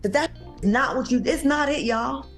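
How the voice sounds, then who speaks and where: A woman's voice: high voice, normal speed, soft background music. A woman online commentator giving an impassioned rant and speaking emphatically to her listeners. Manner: emphatic, addresses listeners as "y'all".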